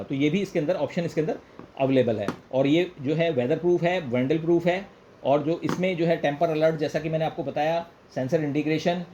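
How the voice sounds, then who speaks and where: A man's voice: fairly high pitch, a little mellow. An Indian man presenting an informal product demonstration, explaining step by step, repeating points and mixing in English phrases. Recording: clear background noise, room ambience.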